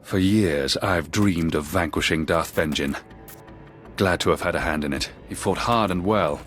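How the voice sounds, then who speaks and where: Deep-voiced loyal Sith warrior. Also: male voice, normal speed, a bit bright, soft background music. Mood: proud, loyal. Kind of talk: dramatic video game acting.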